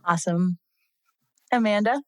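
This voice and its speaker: A woman's voice: mid-range voice, a little slow, no room sound. Enthusiastic woman podcast host. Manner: warm, enthusiastic, lots of fillers. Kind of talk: casual group podcast chat.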